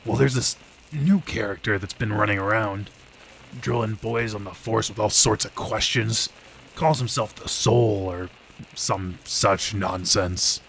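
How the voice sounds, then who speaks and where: Hard-drinking detective, radio drama, gravelly, very slow drawl, needling, faint background noise. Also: male voice, fairly low pitch, a bit bright, low-quality recording. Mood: amused. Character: hard-drinking, teasing, defensive male police detective. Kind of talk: old time radio detective drama acting.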